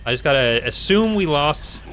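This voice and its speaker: A man's voice: a little high, animated, normal speed, fairly bright tone, a little hiss or hum. A man hosting a gaming video with casual, rambling, wryly humorous playthrough commentary.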